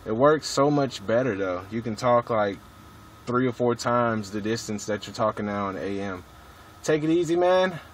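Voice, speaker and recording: A man's voice: medium pitch, lively intonation, moderate pace. A man chatting casually over two-way radio from a vehicle, with slangy, swearing, jargon-heavy talk. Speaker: CB radio hobbyist filming his radio chat. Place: inside a vehicle at a truck stop. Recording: noisy mobile recording.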